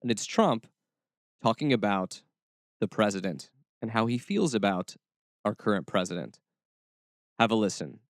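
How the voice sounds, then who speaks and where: A man's voice: lowish voice, lively intonation, normal speed, long pauses, dry recording. Dry-miked male podcast host with wry asides. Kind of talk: casual talk show commentary.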